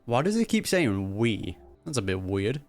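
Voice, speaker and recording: Very low-voiced woman reacting to games. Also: very animated, moderate pace, darkish tone, faint music behind. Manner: laughs often, teasing, slangy. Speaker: gaming streamer reacting to a story quest. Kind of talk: casual reaction commentary.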